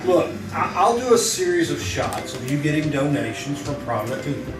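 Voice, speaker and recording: Newspaper crime photographer, male, played by a stage actor: brash, wry, very animated old-time radio delivery, off-mic with music. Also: fairly high pitch, fairly quick. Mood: brisk, eager. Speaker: amateur radio troupe actor. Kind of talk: old-time radio drama acting, live stage performance. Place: small theater, live on stage. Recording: roomy theater stage, distant microphone.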